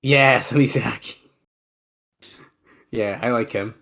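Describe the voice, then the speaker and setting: A congested man with a cold, chatting on a podcast at a middle pitch. He relaxes into play-by-play of wrestling moves, then drifts back into loose banter with his co-host. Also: slow pace, gravelly, bright, forceful tone. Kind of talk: casual wrestling commentary chat.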